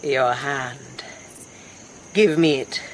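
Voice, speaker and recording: A languid, middle-aged Spanish noblewoman of the radio play. She speaks very slowly, commanding and brooding, like one used to being obeyed. A faint background noise of outdoor insects sits behind her. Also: extremely low pitch, exaggerated voice. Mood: commanding, menacing. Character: languid, imperious older Spanish noblewoman, sun-weary mother. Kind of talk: radio drama acting.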